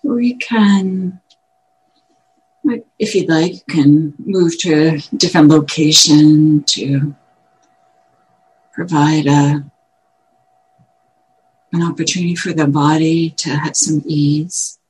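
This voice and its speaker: A woman meditation teacher guiding a meditation in gentle, measured short phrases with long pauses. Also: low-pitched, fairly even intonation, low-quality recording. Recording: clear background noise, room ambience.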